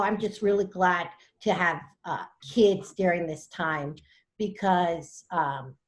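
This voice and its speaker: A woman's voice: lowish voice, darkish tone. A woman joining a podcast as a guest over a video call, chatting casually and swearing now and then. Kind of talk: casual chat.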